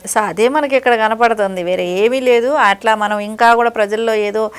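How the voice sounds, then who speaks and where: A woman's voice: fairly high pitch, fairly even intonation, normal speed, clean tone. A woman giving critical, opinionated political commentary as an interview guest, in long conversational explanations.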